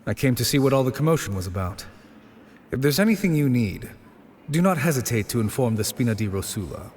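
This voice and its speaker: A man's voice: medium pitch, big pitch swings, a little fast, a little mellow, long reverb tail, slight background noise, close to an everyday voice. A man voicing a polite, formal guard in a video game, courteous in his delivery. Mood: apologetic, formal. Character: polite male guard of a city society. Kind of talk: animated game dialogue acting.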